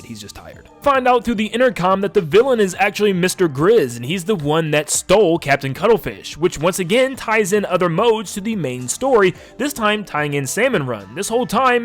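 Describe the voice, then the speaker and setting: A man giving enthusiastic video game retrospective commentary as a host, with emphatic, gushing delivery and drawn-out stressed words. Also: high-pitched. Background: game soundtrack music under the voice.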